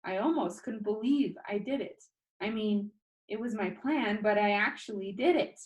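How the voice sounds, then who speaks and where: A woman narrating a children's novel in a storybook-reading style, with expressive delivery. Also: mid-range voice, moderate pace. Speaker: storybook reader.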